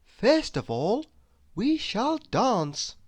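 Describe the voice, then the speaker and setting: A woman voicing an unfailingly polite, gentle male storybook creature with a soft, courteous manner. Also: a little high, very animated, drawn-out words, slightly rough, no room sound, pitched above the performer's own voice, full character voice. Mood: courteous, reassuring.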